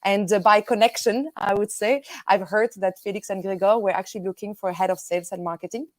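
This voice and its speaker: Belgian woman, lively French-speaking guest. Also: mid-range voice, fast talker, dry recording. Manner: energetic, laughs often, many fillers. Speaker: guest, tech company executive. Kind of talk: live video interview.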